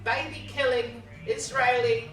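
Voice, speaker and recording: A woman's voice: high voice, steady tone, a little slow. A woman who owns the salon, talking curtly and with hostility. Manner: curt, hostile. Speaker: salon owner. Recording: echoey room, from far off.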